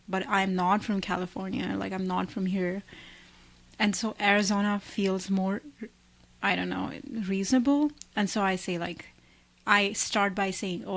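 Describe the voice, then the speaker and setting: A woman's voice: mid-range voice, creaky. Chatty young woman podcast co-host. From India. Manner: chatty, thinks aloud with many likes and restarts. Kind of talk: casual chat.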